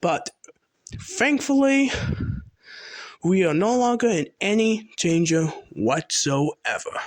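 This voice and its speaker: A man's voice: sits high, animated, slow pace. A man playing a local TV news anchor in an amateur drama, with informal, dramatic delivery. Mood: grave, relieved. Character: male local TV news anchor sharing grave news. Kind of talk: live news report.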